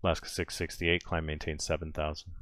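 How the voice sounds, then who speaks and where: A man with a very deep, low voice works as a departure controller. He delivers short, procedural air traffic instructions in a clipped manner. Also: little pitch movement, fairly quick, a bit bright.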